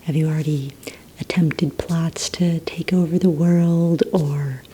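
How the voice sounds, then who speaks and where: A woman whispering breathily in a soft-spoken ASMR roleplay as a polite, encouraging receptionist, asking questions in a gentle, hesitant, unhurried way. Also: sits low, fairly even intonation, a little hiss or hum. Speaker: ASMR roleplay performer as a villain recruitment receptionist.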